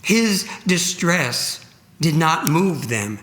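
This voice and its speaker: A man with a fairly high, forceful voice narrates a sacred story. His delivery is solemn and dramatic, very slow, and broken by deliberate pauses. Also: sing-song. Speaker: reader at a church Good Friday service.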